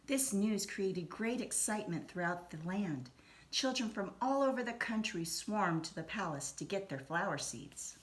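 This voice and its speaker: A woman reading a children's story aloud in an expressive, deliberate storytelling manner. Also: mid-range voice. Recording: roomy echo, some background noise.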